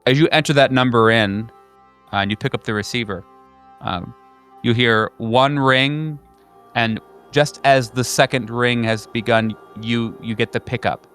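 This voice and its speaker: A man narrating as game master of a horror tabletop game in a deliberate, halting, dramatic way. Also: medium pitch, steady tone, fairly bright tone, smooth, faint music behind.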